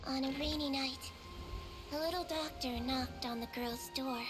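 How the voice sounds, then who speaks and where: An actress playing a gentle young female doctor of fairy folk, telling a story earnestly and softly in a video game. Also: high-pitched, fairly even intonation, normal speed, over music, lightly acted. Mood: storytelling, wistful. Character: gentle Melusine doctor in human form, youthful female storyteller.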